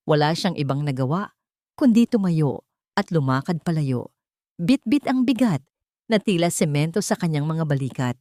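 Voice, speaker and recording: A woman's voice: on the low side, warm tone, no echo, clean studio sound. A woman reading a scripted, dramatic story as its narrator.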